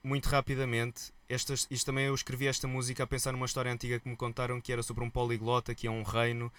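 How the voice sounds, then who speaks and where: A man with a European Portuguese accent, a musician guest telling stories in a relaxed, colloquial interview chat. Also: mid-range voice, fast. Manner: lively storyteller, relaxed and colloquial.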